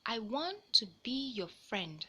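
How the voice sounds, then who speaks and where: Storybook role: kind, brave little boy detective played by a woman, earnest, high and bright. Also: sing-song, takes it slowly, breathy edge, full character voice. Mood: kind, reassuring.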